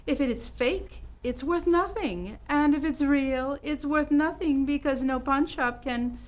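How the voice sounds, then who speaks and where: A woman's voice: high-pitched, unhurried, dull audio. A woman playing a worldly, gossipy businesswoman in an old-time radio detective play, with a knowing, wisecracking delivery. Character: worldly gossipy businesswoman Madame Chang, salon owner.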